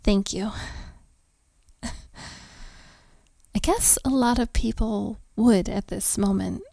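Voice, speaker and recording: A woman playing a flustered, overworked, sharp-tongued hotel maid in a romantic roleplay audio drama, with a sarcastic, exasperated, sighing delivery. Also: mid-range voice, drawn-out words, gravelly, no room sound, clean studio sound, exaggerated voice. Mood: weary, softening.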